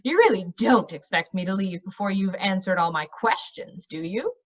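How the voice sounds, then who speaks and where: A woman's voice: medium pitch, big pitch swings, normal speed, muffled recording. A woman voicing a fussy, inquisitive male magician in a fantasy storybook, precise and questioning. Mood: patient.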